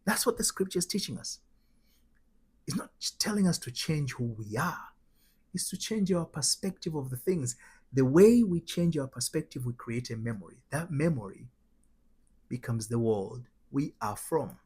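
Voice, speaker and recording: A man's voice: mid-range voice, expressive, normal speed, a little mellow, breathy edge. A man from Tanzania with a Tanzanian accent, giving motivational spiritual teaching in an emphatic, repetitive way with rhetorical questions. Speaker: host, spiritual transformation coach.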